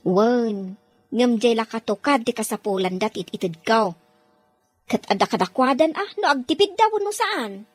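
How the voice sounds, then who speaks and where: High-pitched, emphatic woman advising a friend. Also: animated, normal speed, dry recording. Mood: earnest complaint. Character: practical, cautionary woman working abroad, advising her friend. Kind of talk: radio drama dialogue.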